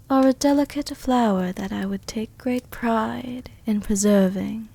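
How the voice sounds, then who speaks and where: Woman voicing an ancient vampire countess, highish voice, very slow, intimate, formal, gently possessive, ASMR roleplay. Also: exaggerated voice. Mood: adoring, seductive. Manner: slow, intimate, formal diction with breathy whispers. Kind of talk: soft-dom roleplay ASMR.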